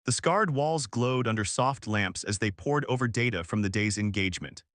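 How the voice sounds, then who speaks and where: A man reading a scripted science fiction war story as its narrator, in a measured, formal storytelling manner. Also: on the low side, expressive, a bit bright, no echo, studio quality.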